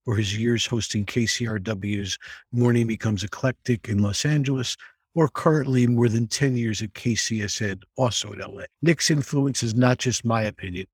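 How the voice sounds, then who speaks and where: A man hosting a podcast, reading a polished introduction and then asking his guest questions in a measured, articulate way. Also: medium pitch, husky, dry recording. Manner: measured, articulate, reads from a script. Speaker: podcast host. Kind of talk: scripted intro then interviewing.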